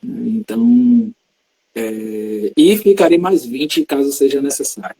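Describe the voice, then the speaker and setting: Man explaining in a dark, husky voice. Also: mid-range voice, rough recording. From Salvador, Bahia, Brazil. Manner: measured, teacherly, explains terms patiently. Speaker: religious practitioner guest. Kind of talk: explanatory interview talk.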